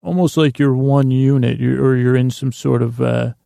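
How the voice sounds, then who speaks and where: A man hosting a sleep podcast in a lulling, soothing tone, meandering haltingly through a bedtime monologue with stammers and repeats. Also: mid-range voice, steady tone, vocal fry, warm tone, smooth, dry recording, studio quality. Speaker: podcast host. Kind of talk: rambling bedtime monologue to lull listeners to sleep.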